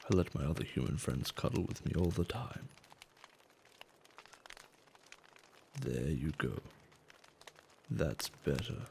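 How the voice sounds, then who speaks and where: A man acting a gentle, caring giant in a soft-spoken, soothing and affectionate ASMR roleplay, with slightly formal phrasing. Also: sing-song, moderate pace, a little hiss or hum, exaggerated voice. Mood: tender, coaxing. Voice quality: low, soft, gravelly. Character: gentle male giant, tender, curious and caring toward humans.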